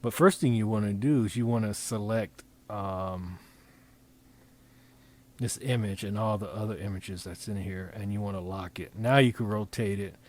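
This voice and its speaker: A man talking through an informal software demonstration, improvising in a halting way as he thinks aloud and repeats phrases. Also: lowish voice. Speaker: presenter demonstrating design software. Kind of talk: informal screen-recording tutorial, improvised.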